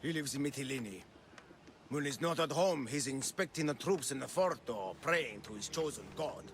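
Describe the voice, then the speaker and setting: A man's voice: medium pitch, lively intonation, normal speed, a little hiss or hum, lightly acted. A man playing a disgruntled, scheming islander in a video game, with an indignant, sardonic delivery. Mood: resentful, scheming. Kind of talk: video game cutscene acting.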